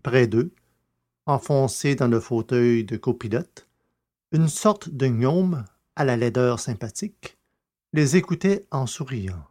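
A man's voice: mid-range voice, animated, slow pace, warm tone. A man reading scripted literary narration for a French science fiction audio drama.

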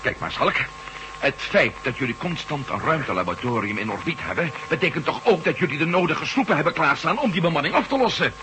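Ex-astronaut lead: urgent, dramatic, animated delivery on a noisy old radio recording. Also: male voice, on the high side, fast. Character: alarmed male former astronaut urging escape. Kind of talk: science-fiction radio play acting.

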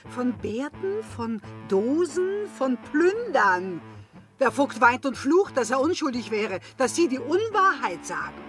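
A pert young maid in a radio play, outspoken and saucy. Her voice is fairly high and very lively, stressing words emphatically. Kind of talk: German radio play acting.

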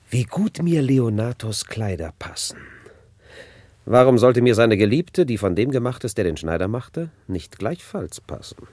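An actor playing an arrogant, boastful young villain prince in a classical radio play, pompous and blustering. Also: male voice, deep voice, a little mellow.

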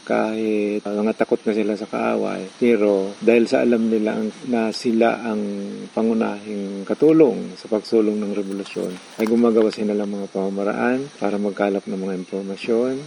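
A man speaks in testimonial style with a dark, slightly low voice. Insects and faint noise sit behind him in the outdoor recording. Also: little pitch movement, normal speed, creaky, poor audio. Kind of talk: spoken testimonial.

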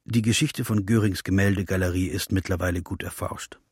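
A man playing a sober, sardonic chronicler, narrating in a measured, precise documentary style for a radio drama. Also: sits low, fairly even intonation, slightly rough, no room sound, studio quality. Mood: dryly ironic.

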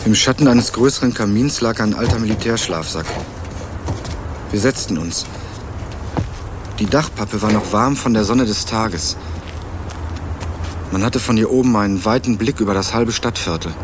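Actor as a low-voiced laconic young man. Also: moderate pace, pauses often, background noise, natural voice. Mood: matter-of-fact. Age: young adult. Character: young male student from Berlin, laconic and guarded. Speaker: narrator and lead actor. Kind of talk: first-person radio crime drama narration and dialogue.

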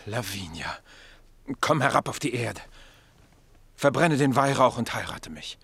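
Husky, passionate Roman captain. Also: male voice, mid-range voice, lively intonation, measured pace, fairly bright tone, dry recording, full character voice. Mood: tender, sceptical. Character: worldly, passionate Roman captain, sceptical devoted lover. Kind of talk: German radio play acting.

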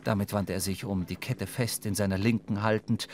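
A man's voice: lowish voice, fairly even intonation, normal speed, noisy background. A man reading scripted literary narration for a radio drama.

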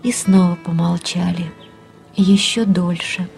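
A woman narrating a story in an audio drama, measured and in short phrases. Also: fairly low pitch, level tone, vocal fry, darkish tone, faint music behind.

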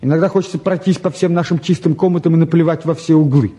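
An actor playing a bored, restless eighteen-year-old younger son, grumbling and flippant in a naturalistic drama. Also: male voice, fairly high pitch, steady tone, quick pace, dark tone, lightly acted. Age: young adult. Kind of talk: naturalistic stage and radio drama acting.

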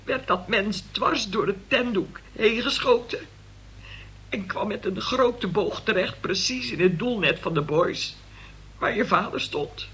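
A woman's voice: medium pitch, unhurried, a bit bright, slightly rough. An actress playing a middle-aged, forgiving mother who tells long, solemn, pious stories to her son in a radio play. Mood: earnest storytelling. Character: forgiving, long-suffering mother recounting family disaster to son.

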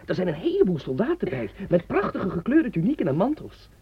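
A woman's voice: fairly low pitch, fairly quick, breathy edge, no echo, exaggerated voice. A woman playing an eager curious schoolboy. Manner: lively, inquisitive. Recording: dull lo-fi recording.

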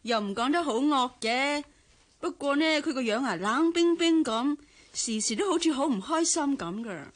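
A woman's voice: high-pitched, lively intonation, normal speed. A woman playing an obliging, chatty household maid in a radio drama. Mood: gossipy.

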